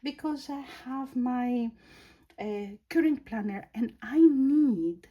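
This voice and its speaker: A woman with a Greek accent, English her second language, casually reviewing a planner and pausing to search for words. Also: sits high, muffled recording. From Greece. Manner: slow, thoughtful, searching for words. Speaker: planner vlogger.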